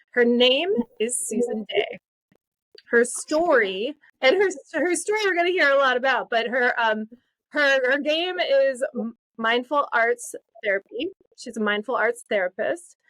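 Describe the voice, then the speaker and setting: A woman's voice: high-pitched, fairly bright tone, dry recording, low-quality recording. A woman co-hosting a podcast, introducing the guest with flowery, effusive phrasing and then chatting casually. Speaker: podcast co-host. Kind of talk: reading a show intro, then casual chat.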